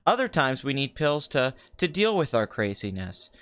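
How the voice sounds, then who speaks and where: Animated man hosting a casual live stream. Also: medium pitch, moderate pace. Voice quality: slightly rough morning voice. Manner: joking, crude, rambling. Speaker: live stream podcast host. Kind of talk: free-form casual live stream chat.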